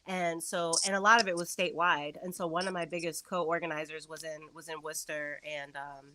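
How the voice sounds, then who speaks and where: A woman's voice: low voice, fairly even intonation, brisk, bright, forceful tone, no room sound. A chatty woman from Chicago with a nasal Midwestern accent, talking as a guest in a casual interview and laughing often. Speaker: guest, comics writer and poet.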